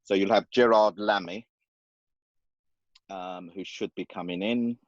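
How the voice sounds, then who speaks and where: A man hosting a video call in an upbeat, chatty way, quick to praise. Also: low voice, steady tone, dry recording. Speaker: host and organizer. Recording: dull dry video call audio.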